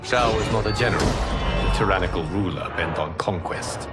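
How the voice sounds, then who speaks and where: An actor playing a humble former god in a video game, speaking in a formal, measured, earnest way. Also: male voice, deep voice, over loud music, natural voice. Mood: solemn, explanatory. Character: solemn, humble former god and protector of Earth. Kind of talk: dramatic video game cutscene acting.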